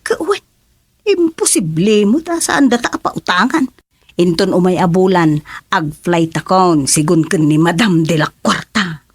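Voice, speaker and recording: A woman playing an excitable village wife hoping to work abroad, with a husky voice and Ilocano accent, in a radio comedy drama. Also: lowish voice, unhurried, dry recording. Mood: nagging, hopeful.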